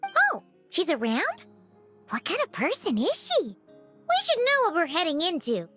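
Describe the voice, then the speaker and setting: A woman voicing a small, childlike, chirpy and nosy floating fairy companion in an animated game, with music behind her. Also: extremely high pitch, unhurried, heavily acted. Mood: chirpy, curious. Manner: refers to self in third person.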